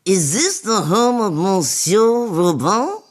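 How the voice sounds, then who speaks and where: A woman's voice: mid-range voice, very animated, slow pace, soft background music. A woman playing a naive, pious female priest in radio theatre, speaking in a solemn, earnest manner. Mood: earnest, urgent.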